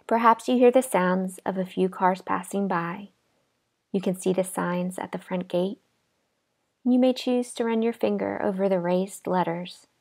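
A woman leading a guided imagery relaxation exercise in a calm, gentle, unhurried manner with pauses. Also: medium pitch, creaky. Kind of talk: guided imagery meditation narration.

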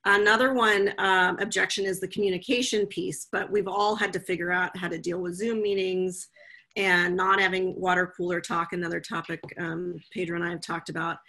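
Woman on a zoom call from home, lowish husky voice, thinks out loud, lots of ums. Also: flat delivery, brisk. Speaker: guest, legal professional. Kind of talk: casual video-call chat. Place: home room, indoors. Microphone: video call.

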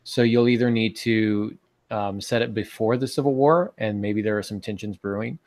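Low-voiced man thinking aloud, dry sound. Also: normal speed, darkish tone, no room sound. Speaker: podcast co-host and writing advisor. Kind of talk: casual advisory talk. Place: small home room with bookshelves, indoors. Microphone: video call on earphones.

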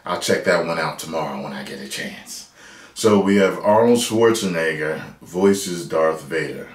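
An American man in his thirties giving casual, playful reaction commentary as a video host, loud and repeating his phrases. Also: rumbling low, normal speed. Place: small room at home, indoors. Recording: roomy, echoey home recording.

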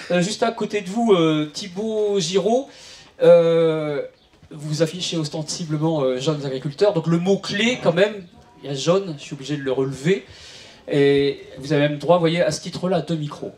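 A man's voice: on the high side, normal speed, a little mellow. A man moderating a panel debate as a journalist, asking long, probing, winding questions into a handheld mic in a large tent. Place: large tent at an outdoor event. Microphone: handheld microphone.

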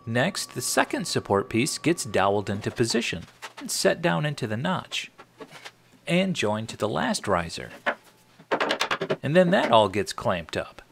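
A man's voice: mid-range voice, big pitch swings, a little slow, gravelly, background noise. A middle-aged American man narrating a scripted woodworking tutorial in a wry, tongue-in-cheek manner. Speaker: host of a woodworking channel.

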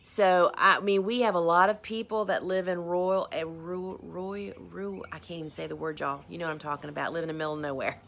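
A woman's voice: fairly low pitch, fairly even intonation, a little fast, vocal fry, hardly any pauses, a bit bright, slight background noise. A woman, hosting a casual live stream and answering viewer questions in a chatty, rambling, self-deprecating way. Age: 50s. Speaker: host.

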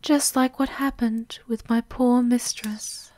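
A woman playing a devoted, menacing servant of a vampire countess, formal and softly sinister in an ASMR roleplay, often in a half-whisper. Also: fairly high pitch, steady tone, takes it slowly. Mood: quietly accusing.

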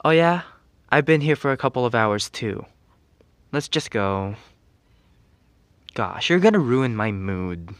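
Tsundere schoolboy best friend , male actor: mid-pitched, slow delivery, exasperated scolding, grumpy teasing, ASMR roleplay. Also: expressive, no room sound, natural voice. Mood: annoyed. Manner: exasperated, whiny scolding with sighs.

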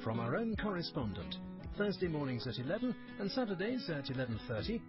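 Lively male radio trailer announcer. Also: on the high side, fairly quick. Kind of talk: scripted radio promo. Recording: slightly dull, music underneath. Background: faint music.

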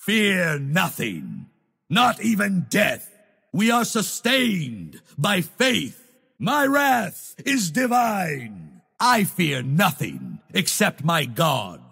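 A commanding holy knight from a fantasy game. His voice is gravelly and husky, sweeping widely in pitch. He declaims with solemn, grandiose conviction, proud and zealous. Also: wildly swooping pitch, slow, bright, forceful tone, exaggerated voice. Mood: fervent, exalted. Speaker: video game character voice. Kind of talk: theatrical fantasy game voice lines.

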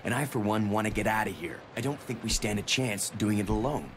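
An actor playing a cocky, cynical young convict in a video game, sardonic and brusque. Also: male voice, brisk, a little hiss or hum, natural voice. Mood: wry, mocking. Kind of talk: video game cutscene acting. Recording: rumbling train ambience behind.

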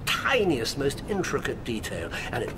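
A shrewd English detective in a radio drama. He is assured, theatrical and sardonic, his pitch moving freely over a clear rumble of background noise. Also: huge pitch swings, moderate pace. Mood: gloating politely.

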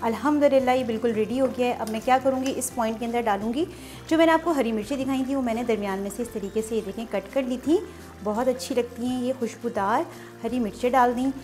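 A woman's voice: on the high side, fast, darkish tone, faint music behind. A woman hosting a cooking show, explaining each step chattily and often praising the dish. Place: home kitchen at the stove.

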